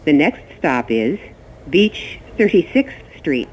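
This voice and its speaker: A woman reading scripted automated subway announcements as the train announcer, in measured, clearly segmented phrases. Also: sing-song, darkish tone. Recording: muffled, noisy recording inside subway train. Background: train rumble and ambience.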